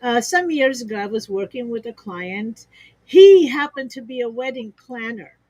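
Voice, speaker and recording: A woman with a fairly high voice talks through business partnerships in an upbeat, conversational way. She laughs easily and builds her points from examples. The sound is dry and close, as on a video call. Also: expressive, moderate pace, darkish tone, dry recording, low-quality recording. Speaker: expert guest on a business livestream.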